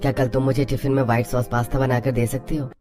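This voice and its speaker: A man's voice: mid-range voice, little pitch movement, fast talker, lightly acted. A man playing an affectionate, easygoing young husband in a scripted cartoon moral story, with music behind. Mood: gentle, wishful.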